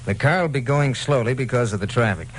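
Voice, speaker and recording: An actor playing a calculating, crooked attorney in a vintage radio detective drama, suave and persuasive. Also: male voice, mid-range voice, fast, natural voice. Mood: scheming, assured. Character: smooth-talking crooked male attorney, calculating and commanding. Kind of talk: 1940s-50s radio detective drama acting. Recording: noisy vintage radio recording.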